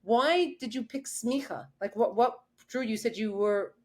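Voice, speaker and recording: A woman's voice: on the high side, moderate pace, darkish tone. Enthusiastic woman podcast host. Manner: enthusiastic, laughs often. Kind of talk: interview hosting.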